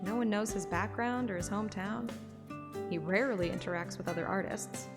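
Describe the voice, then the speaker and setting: Creaky-voiced woman narrating a story. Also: mid-range voice, fairly quick. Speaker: narrator and streamer. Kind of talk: scripted first-person story narration, audiobook-like. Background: music.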